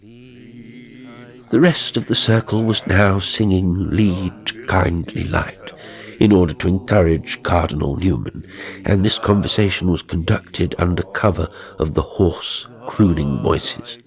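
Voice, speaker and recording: A man narrating a scripted ghost story as an audiobook reader, in a theatrical, measured storytelling manner. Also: low-pitched.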